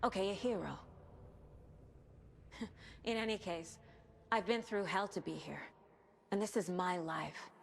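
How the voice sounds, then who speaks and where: An actress playing a determined, level-headed investigator in a video game cutscene, speaking firmly and seriously. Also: female voice, mid-range voice, creaky, a little hiss or hum. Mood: resolute. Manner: firm, measured, serious.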